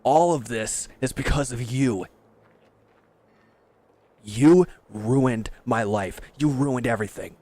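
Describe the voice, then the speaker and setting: A man's voice: mid-range voice, slow, long pauses, warm tone, natural voice. A man playing a bitter, proud and resentful injured villain in a dramatic audio roleplay, speaking with sardonic, confrontational edge. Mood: angry, accusing.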